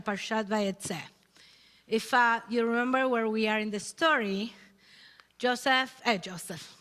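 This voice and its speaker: A woman gives a Shabbat sermon, pausing to search for her words in English. Her voice is bright and a little high. It rings with the long reverb of a large sanctuary. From Chile. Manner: halting, searching for words, sings in Hebrew. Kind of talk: Shabbat service sermon with chanting. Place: synagogue sanctuary.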